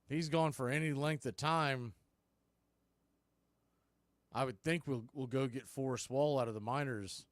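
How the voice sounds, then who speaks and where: A man's voice: medium pitch, steady tone, unhurried, pauses often, no echo. A man doing live baseball play-by-play as an informal, colloquial announcer who openly roots for his team. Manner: homer fan, informal and colloquial. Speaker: baseball play-by-play announcer for a fan watch party. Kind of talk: live sports play-by-play commentary.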